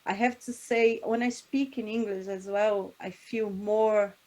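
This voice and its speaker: A woman's voice: mid-range voice, steady tone, unhurried, darkish tone, poor audio. Woman musing thoughtfully. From Brazil. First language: Portuguese. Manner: thoughtful, searching for words with frequent restarts and laughs. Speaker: singer. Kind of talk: interview conversation.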